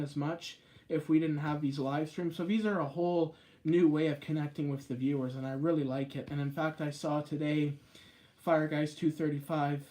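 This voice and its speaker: A man with a slightly higher-than-usual voice hosts a live stream and chats casually with his viewers. He rambles from point to point, and his pitch jumps up sharply on the words he stresses. He talks straight into a phone. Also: a little mellow. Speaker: YouTube live-stream host.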